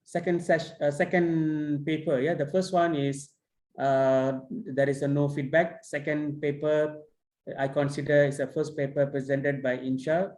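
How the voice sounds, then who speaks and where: A man chairing an online conference session and giving feedback on a paper, halting and full of fillers and restarts. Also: fairly high pitch, little pitch movement, a little mellow. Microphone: video call. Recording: roomy echo.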